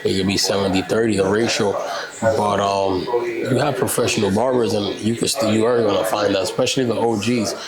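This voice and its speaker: A low-voiced man in his thirties, a barber from Puerto Rico whose first language is Spanish. He rambles in casual interview talk and keeps tagging "you know" and "like I said". Loud crowd noise sits behind him. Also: steady tone, brisk, poor audio. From Puerto Rican. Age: 30s.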